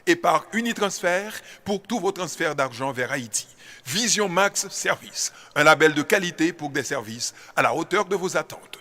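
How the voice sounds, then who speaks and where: A man's voice: fairly high pitch, animated, normal speed, sharp tone. A man reading a promotional radio advertisement as its announcer, listing services and contact details.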